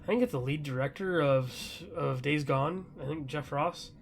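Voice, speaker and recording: A man's voice: fairly high pitch, normal speed, vocal fry. A man hosting a podcast, chatting casually about gaming news in a rambling, loosely conversational way. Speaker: podcast host.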